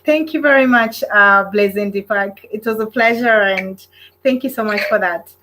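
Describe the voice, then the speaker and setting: A woman's voice: medium pitch, moderate pace, sharp tone, a little hiss or hum. Effusive woman hosting a casual live stream. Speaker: host of a live online relationship panel.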